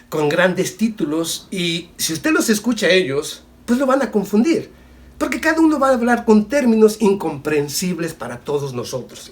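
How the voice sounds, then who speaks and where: A man from Mexico giving solo video commentary, talking emphatically and straight at the viewer, with rhetorical questions and homely examples. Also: on the high side. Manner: emphatic, repetitive, addresses the viewer directly. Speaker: political commentator.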